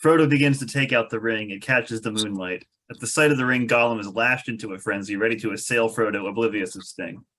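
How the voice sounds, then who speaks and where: A man's voice: fairly low pitch, quick pace, few pauses, fairly bright tone. A man reading out stage directions as narrator at a fantasy script table read, with a wry manner.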